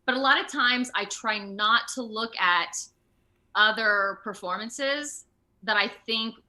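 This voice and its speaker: An American woman chatting casually as an interview guest, telling stories in an animated way. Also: fairly high pitch, slow pace, poor audio. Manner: animated storytelling, laughs often, acts out moments. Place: remote video call.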